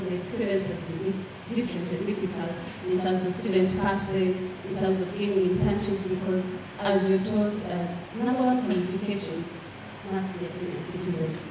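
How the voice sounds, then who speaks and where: A young woman from Rwanda speaking earnestly as a panelist, with frequent fillers and restarts. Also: mid-range voice. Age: young adult. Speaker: panelist, student representative. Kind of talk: panel discussion answer. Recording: echoey hall from far off with background noise.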